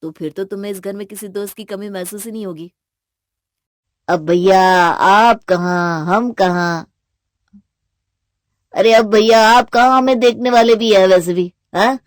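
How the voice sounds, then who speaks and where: A woman's voice: mid-range voice, steady tone, fast talker, few pauses, darkish tone, no room sound, studio quality, natural voice. A woman voicing a playful, boisterous young brother-in-law in an audio drama, jokey and mischievous.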